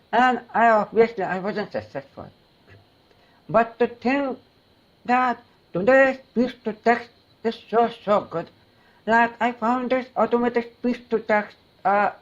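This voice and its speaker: A man's voice: high voice, a bit bright. Earnest male guest, dull lo-fi sound. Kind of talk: interview answer.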